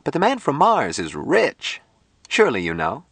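A man voicing a bright, affectionate, playful young woman in an audiobook story, with a gushing, emphatic manner full of endearments. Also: mid-range voice, wildly swooping pitch, normal speed, gravelly, slightly rough, no echo, voice pushed up, full character voice. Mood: amused, generous.

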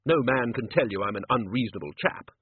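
A man voicing a blunt, blustery old wizard arch-chancellor in an audiobook, brusque, loud and emphatic. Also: medium pitch, animated, fast, husky, no echo, phone-quality sound.

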